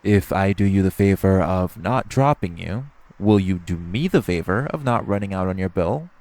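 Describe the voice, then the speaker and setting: Male actor as centuries-weathered male vampire, very low pitch, heavy vocal fry, cocky, teasing, flirtatious menace, intimate audio drama. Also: animated, normal speed, darkish tone, a little hiss or hum. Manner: smug, taunting, drawling, playfully menacing.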